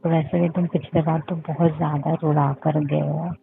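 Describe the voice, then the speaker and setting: A woman's voice: deep voice, level tone, normal speed, vocal fry. A woman chatting casually and intimately over a phone call. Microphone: phone call recording. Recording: tinny phone line, music behind.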